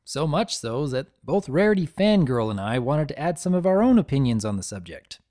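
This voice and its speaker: A man's voice: medium pitch, sing-song, a little fast, warm tone. A man narrating and commenting from a script as host, in a theatrical storyteller's manner. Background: background music.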